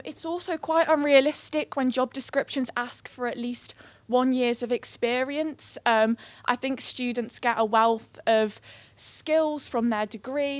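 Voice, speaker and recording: A young woman in her twenties with a light, fairly high voice speaks to a conference audience in a friendly, plain way. The sound is dry and close. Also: no room sound. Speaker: careers assistant, panel speaker. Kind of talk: conference presentation.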